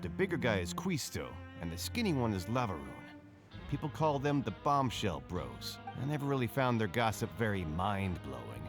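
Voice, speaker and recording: A man's voice: on the low side, big pitch swings, moderate pace. A man voicing a friendly, chatty card-playing prison inmate in a video game, with a casual manner. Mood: gossipy. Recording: music behind the voice.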